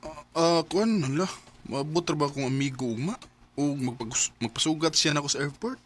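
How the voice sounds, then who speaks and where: A man's voice: fairly high pitch, a little fast, a bit bright, a little hiss or hum, natural voice. A man acting in a radio drama as an earnest young man fond of his best friend, with a Cebuano Bisaya Filipino accent. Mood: hesitant. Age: young adult.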